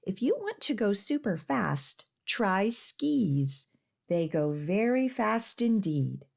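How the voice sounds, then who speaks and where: A woman voicing a helpful, obliging shopkeeper in a children's storybook, with a measured salesperson's manner. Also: medium pitch, very animated, gravelly, a little mellow, heavily acted.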